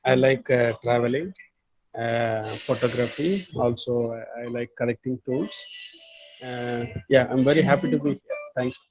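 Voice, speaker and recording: A man's voice: medium pitch, moderate pace, a little mellow, a little hiss or hum, rough recording. A man from India introducing himself on an online call, shy and hesitant with fillers. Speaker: community member.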